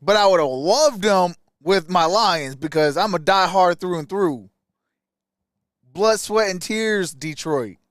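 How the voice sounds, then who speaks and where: Male sports stream host, smooth high voice, colloquial fan talk, close dry sound. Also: expressive, slow pace, no echo. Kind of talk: casual live sports commentary.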